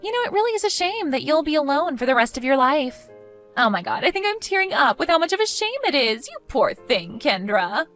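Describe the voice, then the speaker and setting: A woman's voice: high-pitched, big pitch swings, fairly quick, a bit bright, faint music behind. A woman voicing a catty, condescending, gossipy sister-in-law in an exaggerated, melodramatic style for a dramatized story. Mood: smug mockery. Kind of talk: dramatized text-message story voice acting.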